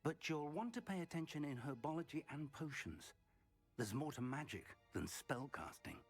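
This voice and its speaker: A man's voice: a little high, big pitch swings, moderate pace, husky, faint music behind, exaggerated voice. A man playing a wise wizard mentor in a fantasy video game, thoughtful and encouraging in manner. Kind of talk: video game character acting.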